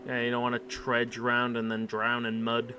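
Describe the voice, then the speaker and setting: A man's voice: monotone, moderate pace, sharp tone. A man streaming a game with casual, wry reaction commentary, muttering short interjections and swearing freely. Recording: very noisy, constant background rumble.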